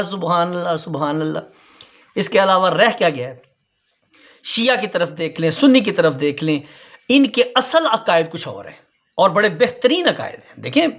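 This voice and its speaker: A man giving unscripted critical commentary in a halting, repetitive, challenging manner. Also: sits high, fairly bright tone. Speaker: vlogger commenting on a sermon clip. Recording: dull, lo-fi sound.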